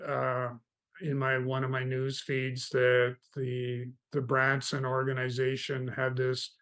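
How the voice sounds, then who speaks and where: A man from Canada, a guest in an interview, telling stories about mentoring in a relaxed, meandering way. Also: medium pitch, slow pace, clean tone. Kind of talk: casual interview chat.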